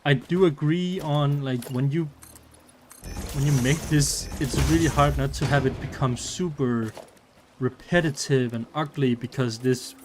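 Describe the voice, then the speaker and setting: A man's voice: mid-range voice, measured pace, background noise. A man from Denmark with a Danish accent, chatting casually on a live stream, rambling with many fillers and restarts.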